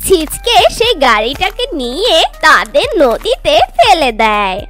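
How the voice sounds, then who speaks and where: High, animated woman storyteller. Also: a little slow, soft background music. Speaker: narrator. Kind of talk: scripted children's story narration.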